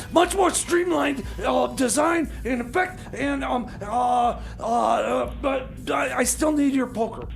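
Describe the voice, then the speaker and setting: A man playing a flustered, eager time machine mechanic in radio comedy, stammering and rambling haltingly. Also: very high-pitched, creaky. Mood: fumbling, insistent.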